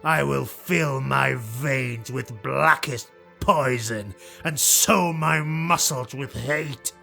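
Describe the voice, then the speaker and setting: A man voicing a proud, hate-filled dark-elf nobleman, declaiming defiantly and theatrically in a fantasy audiobook. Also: medium pitch, slow, vocal fry, faint music behind, heavily acted. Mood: hateful vow.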